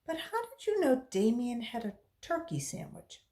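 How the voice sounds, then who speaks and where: Woman as an earnest storybook schoolteacher, high-pitched. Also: sing-song, normal speed, a little mellow. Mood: earnest, approving. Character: approving, gullible female primary-school teacher.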